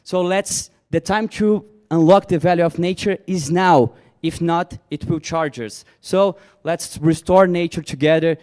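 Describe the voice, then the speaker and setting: Male presenter, non-native English, explanatory. Clean resonant tone, pitched a little high. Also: unhurried, hardly any pauses, a little mellow. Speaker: startup presenter. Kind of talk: presentation and audience answers.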